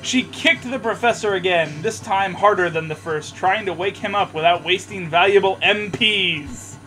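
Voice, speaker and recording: A man's voice: high-pitched, moderate pace, bright, forceful tone, soft background music, rough recording. A man co-hosting a comedy podcast, reading fan fiction aloud in a mocking, theatrical way with joking asides and stumbles over the text.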